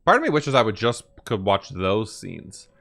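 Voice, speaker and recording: A man's voice: on the low side, big pitch swings, moderate pace, vocal fry, a bit bright. A man hosting a gaming reaction, commenting casually in an informal, questioning way.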